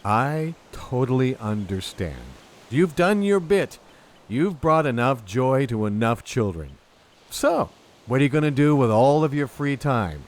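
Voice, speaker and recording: A man's voice: medium pitch, big pitch swings, unhurried. A man playing an earnest, persuasive space adventurer hero in a sci-fi comedy audio drama, speaking with dramatic sincerity. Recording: music behind the voice. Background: music.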